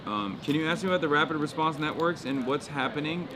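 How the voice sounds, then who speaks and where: A man's voice: a little high, quick pace. A male streamer host chatting casually and jokingly at an outdoor protest, with loose slang. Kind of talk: casual street chat. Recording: loud crowd noise behind. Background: loud crowd.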